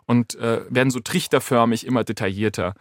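A man's voice: mid-range voice, steady tone, brisk, no echo. A man in a casual studio conversation, explaining things step by step as an expert guest and giving quoted examples. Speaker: AI expert guest, podcast host.

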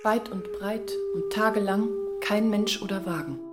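A woman's voice: mid-range voice, steady tone, measured pace, loud music behind the voice, natural voice. An actress playing a frank village woman who comments plainly on a family's misfortune in a literary radio drama. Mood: frank, sober.

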